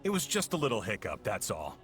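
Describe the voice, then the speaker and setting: Dismissive criminal enforcer. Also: male voice, on the low side, huge pitch swings, brisk, fairly bright tone, soft background music. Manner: dismissive, threatening. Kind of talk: video game voice acting.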